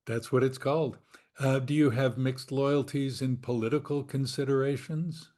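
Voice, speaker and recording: A man's voice: medium pitch, fairly even intonation, normal speed, a little mellow. A man hosting a podcast interview, asking questions in an easygoing way with light chuckles. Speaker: podcast host.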